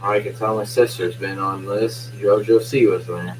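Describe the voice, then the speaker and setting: A young man gives casual play-by-play with a hoarse, fading voice, keeping it low and hushed. His voice sits on the low side. A faint hum runs under the home recording. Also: fairly even intonation, moderate pace, rough recording. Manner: quiet, subdued talk. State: losing his voice. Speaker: live streamer doing play-by-play. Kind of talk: casual live-stream sports commentary. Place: at home at night.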